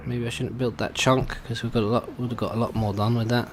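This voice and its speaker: A man's voice: mid-range voice, little pitch movement, a little fast, a crowd in the background. A man giving casual let's play commentary, thinking aloud in a hesitant way as he goes. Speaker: gaming video host.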